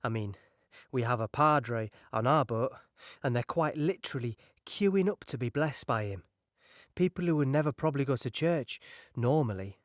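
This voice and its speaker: A man's voice: mid-range voice, expressive, moderate pace, no echo, clean studio sound, lightly acted. A man playing an earnest, fearful British soldier in an audio play, recounting battle in a reflective and emphatic way. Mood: awed, hushed. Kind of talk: dramatic first-person monologue in audio play.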